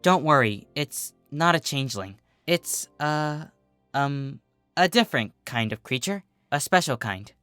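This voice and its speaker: Someone doing a flustered girl unicorn from a cartoon, urgent n scolding, bright voice jumping all over. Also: mid-range voice, lively intonation, unhurried, clear, resonant tone, no room sound, soft background music. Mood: anxious. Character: nervous, exasperated young female cartoon unicorn, easily flustered.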